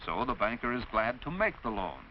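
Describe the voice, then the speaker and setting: A man's voice: medium pitch, very animated. American man narrating a crackly vintage educational film. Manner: didactic, measured, clearly enunciated explanation. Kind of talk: mid-century educational film narration, scripted. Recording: dull, noisy vintage film soundtrack.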